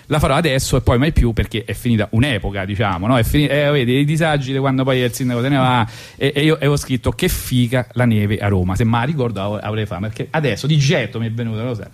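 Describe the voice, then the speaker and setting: A man's voice: mid-range voice, quick pace, hardly any pauses. A man with a Roman accent, a radio host and comedian telling a rambling story with false starts and asides. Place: radio studio.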